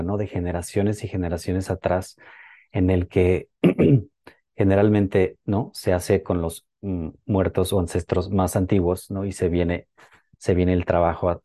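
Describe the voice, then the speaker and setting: A man with a very deep, dark, mellow voice speaks gently and hesitantly. He often checks in with tag questions. The recording is close and dry. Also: dry recording. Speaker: workshop speaker. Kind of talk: talk on ancestral healing.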